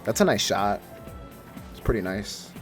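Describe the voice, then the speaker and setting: A man's voice: lowish voice, animated, a little slow, faint music behind. Casual, slangy young-sounding male gaming streamer. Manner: informal, slangy, enthusiastic. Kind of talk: casual let's play commentary.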